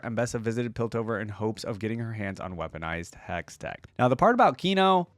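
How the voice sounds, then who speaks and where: A man's voice: medium pitch, dry recording. A man giving casual reaction commentary, reading text aloud and adding conversational asides. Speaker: reaction video host.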